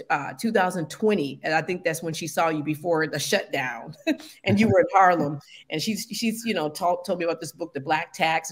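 A woman in her forties hosting a casual livestream interview over a video call from home, chatty and animated, addressing viewers as family. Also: fairly low pitch. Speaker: host. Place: home room with plants. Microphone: video call webcam.

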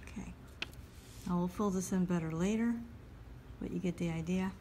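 A woman calmly talking through a step-by-step drawing lesson as she sketches at a table. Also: lowish voice, slight background noise. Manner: calm, unhurried, talks while drawing. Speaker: drawing instructor. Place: at a table indoors.

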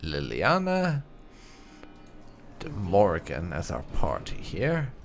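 Male gaming host, low and very animated, unhurried theatrical delivery. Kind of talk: roleplay playthrough commentary, reading out character replies.

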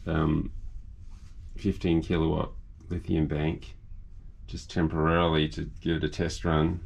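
An older man, casually explaining a project aboard his boat in an unhurried, halting way. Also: low-pitched, vocal fry, mellow. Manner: unhurried, halting with restarts and fillers. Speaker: boat owner and video maker. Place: cabin and engine room of a sailing catamaran.

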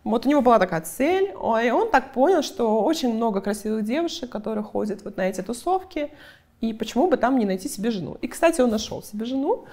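A woman PR agency head giving interview answers, brisk and talkative, explaining through client examples. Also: fairly high pitch, lively intonation, a little mellow. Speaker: PR agency head, guest expert.

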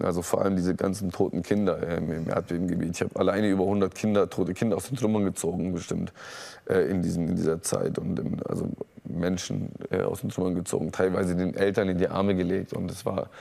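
Calm low-voiced man, gravelly. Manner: halting, many fillers and restarts, calm. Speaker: talk show guest, aid worker. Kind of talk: candid personal account in a talk show. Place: TV studio set with audience. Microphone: lapel mic.

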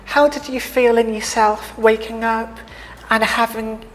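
A young woman interviewing a guest casually, friendly and encouraging, with frequent short agreements, in a large bright hall. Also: a little high, flat delivery, a little slow, slight background noise. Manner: friendly, many "yeah" backchannels. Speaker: interviewer, hospital staff. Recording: reverberant hall, faint ambience.

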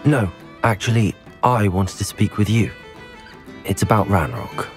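An actor playing an earnest teenage wizard student in a video game, polite and composed. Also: male voice, on the low side, a little slow, faint music behind, lightly acted. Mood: determined.

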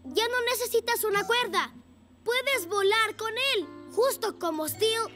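A performer voicing an eager, excitable little talking motorbike kid in a children's cartoon dub. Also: extremely high pitch, a little slow. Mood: excited. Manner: exclamatory, eager. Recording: music bed behind the voice.

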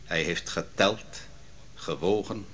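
An actor playing a grave, declamatory prophet pronouncing doom in a Dutch radio drama. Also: male voice, sits low, slow pace, a bit bright, a little hiss or hum. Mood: ominous. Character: solemn male prophet pronouncing doom on a king.

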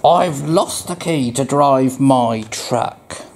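A man's voice: mid-range voice, takes it slowly, darkish tone, a little hiss or hum. A man voicing a puzzled, self-satisfied duck from a children's picture book, in a theatrical, questioning way.

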